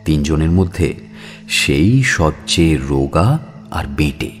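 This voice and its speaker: A man narrating a scripted audio story in a measured, expressive storytelling manner. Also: rumbling low, faint music behind.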